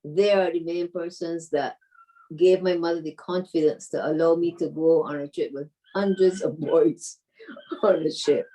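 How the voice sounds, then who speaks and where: Distant, low-voiced older woman. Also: fairly even intonation, unhurried, dark tone. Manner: sentimental, grateful. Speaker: former cadet giving reunion remarks. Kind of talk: informal farewell remarks on a group call. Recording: distant microphone on video call.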